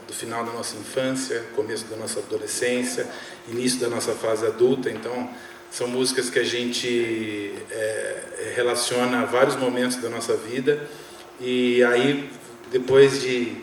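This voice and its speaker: A man's voice: medium pitch, slight background noise. A man, a trombonist, speaking on stage in a reflective, hesitant way with fillers. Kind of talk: talk on stage. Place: theater hall. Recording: echoey theater, speaker far from mic.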